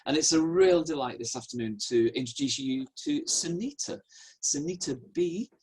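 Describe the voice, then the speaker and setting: Middle-aged male host, soft-spoken and hesitant, introducing a guest on a video call. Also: on the high side, lively intonation, normal speed, breathy edge. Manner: gentle, slightly hesitant with ums. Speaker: church host, interviewer. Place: study with bookshelves, indoors. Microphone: webcam on a video call.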